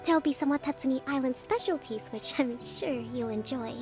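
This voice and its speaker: A young priestess leader, voiced by a woman, speaks softly and patiently in a high, light voice. She is composed and gracious, with quiet game music behind her. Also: brisk, natural voice. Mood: calm. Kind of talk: video game voice acting.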